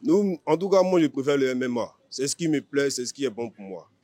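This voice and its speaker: A man's voice: mid-range voice, animated, fairly quick, warm tone, no room sound. A man with an Ivorian accent, a fighter talking confidently and boastfully in an informal interview. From Ivory Coast. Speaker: MMA fighter being interviewed.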